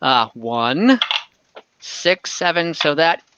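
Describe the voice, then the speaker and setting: A game master narrating a tabletop role-playing game informally, thinking out loud while tallying dice over an online call. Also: medium pitch, big pitch swings, extremely slow, dull audio, noisy background. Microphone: online group call.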